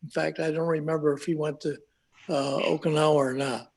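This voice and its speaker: Guest: man, late 90s, veteran, slow halting recollection, slightly higher voice. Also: flat delivery, a little mellow. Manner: halting, searching for words with many uhs. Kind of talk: live video conversation.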